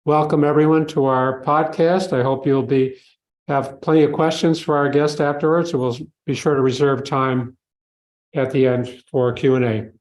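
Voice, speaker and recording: Male host at a live podcast event, measured, formal introduction style. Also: mid-range voice, fairly even intonation, darkish tone, reverberant. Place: learning center hall with a live audience.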